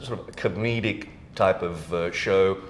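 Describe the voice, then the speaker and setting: A man's voice: low-pitched, moderate pace, reverberant, a little hiss or hum. A man hosting a casual podcast interview, putting questions to his guest. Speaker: interview host.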